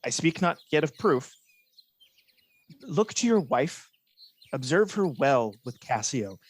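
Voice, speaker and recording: An actor playing a scheming false friend who feigns honest concern, speaking measured, insinuating Shakespearean verse in a radio play. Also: male voice, on the high side, very animated, slightly rough, no echo, exaggerated voice. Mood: cautioning.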